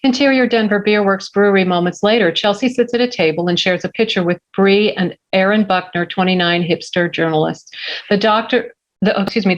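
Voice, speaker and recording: A woman reading stage directions aloud as scripted narration at a table read. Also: mid-range voice. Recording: dull, lo-fi microphone sound.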